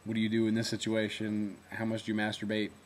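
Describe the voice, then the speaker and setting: A young man speaks into his camera in a relaxed video diary, his voice low and deep. He sometimes swallows his words and talks in a chatty, rambling way, full of fillers and quick self-corrections. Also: a little hiss or hum. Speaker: former reality show contestant.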